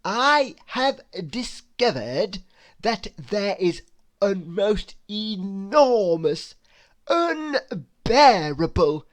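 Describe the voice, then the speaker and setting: A woman voicing a rude, impatient male storybook creature who roars in a loud, drawn-out, emphatic way. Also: medium pitch, very animated, voice pushed up. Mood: exasperated, furious. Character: rude, impatient, bad-tempered male cartoon creature in a top hat.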